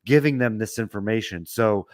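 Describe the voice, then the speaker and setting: A man co-hosting a casual chat show, hoarse from a sore throat, talking quickly with frequent restarts. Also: fairly low pitch, no echo. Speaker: podcast co-host.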